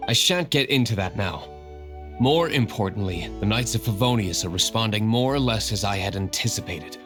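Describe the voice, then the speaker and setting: A man's voice: fairly low pitch, lively intonation, normal speed, natural voice. An actor playing an aloof, brooding young nobleman in a video game, speaking in a reserved, formal and terse way. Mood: guarded. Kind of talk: video game character acting. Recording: game music behind the voice.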